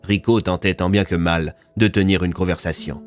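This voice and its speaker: A man's voice: low voice, fairly even intonation, moderate pace, faint music behind. A man narrating a serialised audio fiction in a scripted, dramatic storytelling style.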